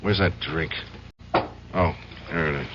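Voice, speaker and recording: An actor with an American accent playing a troubled, hard-drinking lawyer in an old-time radio drama, agitated and halting. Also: male voice, very deep voice. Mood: drunken, morose. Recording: dull, faintly noisy old radio recording.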